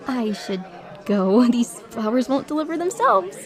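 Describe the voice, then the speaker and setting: An actress plays a young woman volunteer in an audio drama. Her voice sits fairly high, and she speaks earnestly and hesitantly, with a lonely, soft-hearted temper. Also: sing-song, measured pace, a crowd in the background. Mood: polite, friendly. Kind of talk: first-person audio drama narration and dialogue.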